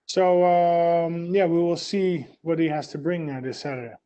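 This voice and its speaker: A man's voice: fairly high pitch, fairly even intonation, moderate pace, dark tone. A man with a Dutch accent, a fighter answering press questions in short, plain answers full of fillers. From Netherlands.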